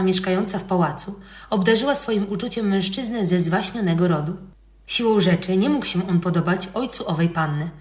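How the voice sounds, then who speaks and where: A woman reading scripted historical narration as a podcast narrator. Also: fairly low pitch. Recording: roomy, echoing voice recording.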